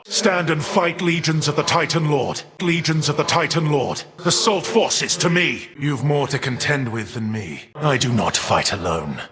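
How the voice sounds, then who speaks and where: An actor playing a proud, vengeful, defiant Titan of fire in a video game, speaking with grave, haughty, archaic formality. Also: male voice, medium pitch, lightly acted. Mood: commanding fury. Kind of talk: dramatic video game villain voice acting. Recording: long reverb tail.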